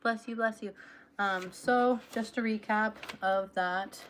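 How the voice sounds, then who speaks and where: A woman's voice: medium pitch, fairly even intonation, slow pace, fairly bright tone, slight background noise. A young woman hosting a casual livestream, reading aloud and chatting affectionately with her viewers. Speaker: livestream host.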